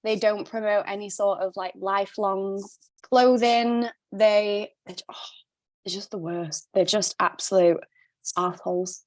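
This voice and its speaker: Passionate woman guest, faint Glaswegian tinge, blunt and sweary, mid-pitched, dry close mic. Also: fairly even intonation, measured pace, no room sound. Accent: non-native Glaswegian mix. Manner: passionate, blunt, swears freely, laughs often. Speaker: guest, sustainable stylist. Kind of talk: casual podcast interview. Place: not originally from Glasgow, now lives there.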